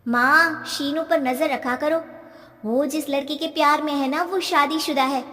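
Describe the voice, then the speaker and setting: A woman voicing a greedy, manipulative young daughter in a moral story, insistent and sharp-tongued. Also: high-pitched, steady tone, unhurried. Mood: alarmist, pushy. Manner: insistent, insinuating, coaxing. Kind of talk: acted moral story dialogue. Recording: long reverb on the voice.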